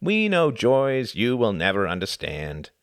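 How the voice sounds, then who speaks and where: A man's voice: on the low side, huge pitch swings, a little slow, dry recording, clean studio sound, voice pushed up, full character voice. A man doing a rapturous German zealot. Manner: rapturous, sermonizing. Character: ecstatic German man preaching submission.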